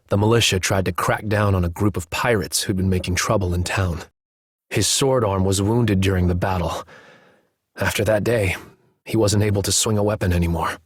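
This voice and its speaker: An actor playing a somber, haunted young man in a video game cutscene, speaking in an earnest, subdued and measured way. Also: lowish voice, breathy edge, no room sound, studio quality.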